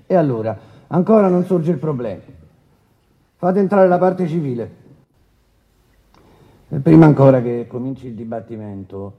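An actor playing a formal, testy, officious presiding judge in a courtroom radio drama. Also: male voice, on the high side, gravelly, lo-fi sound. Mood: hesitant, official. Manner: formal and officious, with halting hesitations.

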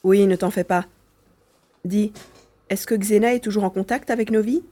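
A woman's voice: medium pitch, fairly even intonation, moderate pace, mellow, natural voice. A woman playing an earnest, caring young boy in a French audio drama. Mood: reassuring.

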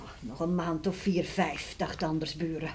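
A woman's voice: low voice, fairly even intonation, darkish tone, natural voice. An actress in a radio play playing a caring middle-aged farmer's wife and mother, with a soothing, practical manner. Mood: worried. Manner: soothing, homely, measured. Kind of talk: Dutch radio play acting. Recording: noisy old radio play recording.

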